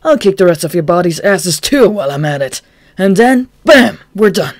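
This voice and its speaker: A man voicing a brash, hot-headed young man who taunts his enemies loudly and cockily. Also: a little high, moderate pace, no echo, clean studio sound, lightly acted. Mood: taunting. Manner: loud, cocky, taunting.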